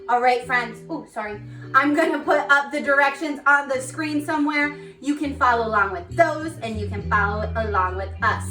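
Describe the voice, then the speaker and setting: A woman teacher doing an interactive read-aloud for children, animated and encouraging, asking questions and pausing for answers. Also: high voice, soft background music.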